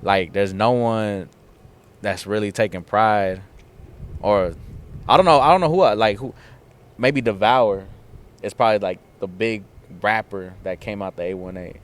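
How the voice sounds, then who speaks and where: A young man from the San Fernando Valley talks loosely and casually, a lower voice peppered with filler slang. He's a guest musician sitting down for an outdoor interview chat. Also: unhurried. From San Fernando Valley, California. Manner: laid-back slangy talk, lots of "like" and "dog". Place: outdoors in front of a theater.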